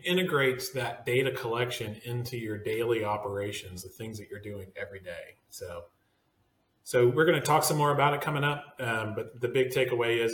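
A man's voice: medium pitch, a bit bright. Rambling male podcast host, echoey room. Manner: rambling, hedging with fillers. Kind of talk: casual roundtable chat.

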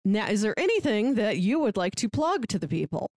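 Casting: female podcast host, chatty and informal, mid-pitched voice, dry recording. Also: sing-song, a little fast, a little mellow, no echo. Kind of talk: casual podcast chat.

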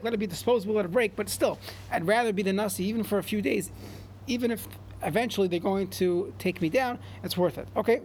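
High-voiced man teaching Talmud. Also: slight background noise. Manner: reads in chant then translates, frequent restarts. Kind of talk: Talmud class, reading and translating.

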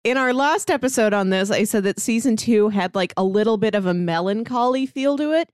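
A woman's voice: fairly high pitch, a little fast, clear, resonant tone, dry recording. Female podcast co-host, casual recap delivery, light laughter, dry sound. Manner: summarizes plots with light laughs. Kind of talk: casual review chat.

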